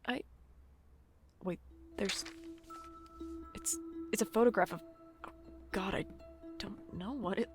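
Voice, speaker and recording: A woman's voice: medium pitch, slow, pauses often, over music, natural voice. A woman playing a wistful, haunted figure recording a private log in a horror audio drama, hesitant and brooding. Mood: rattled.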